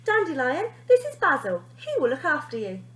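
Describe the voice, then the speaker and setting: A woman reader playing a brisk, polite primary school teacher in a storybook, formal and emphatic. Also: high-pitched, huge pitch swings, fairly bright tone, full character voice. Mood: welcoming.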